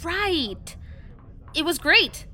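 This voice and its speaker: A woman's voice: very high-pitched, sing-song, heavily acted. An actress playing a giggly teenage girl who is easily flustered in an audio drama. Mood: nervous. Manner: giggly, bright and quick to fluster.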